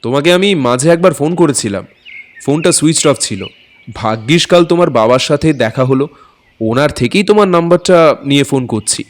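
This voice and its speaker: A man's voice: fairly high pitch, expressive, fairly quick, close to an everyday voice. An actor playing a courteous, hesitant maths tutor, telling his own eerie story in an audio drama. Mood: friendly, then concerned. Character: anxious, courteous male maths tutor recounting an eerie night. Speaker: narrator and lead character. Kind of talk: dramatic first-person ghost-story narration.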